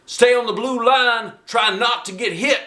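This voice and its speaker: A man voicing a manic action-hero gym teacher in a storybook, with a loud, drawn-out commanding delivery. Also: extremely high pitch, pitched above the performer's own voice, full character voice. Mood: manic, excited. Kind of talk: children's storybook character voice. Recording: echoey room.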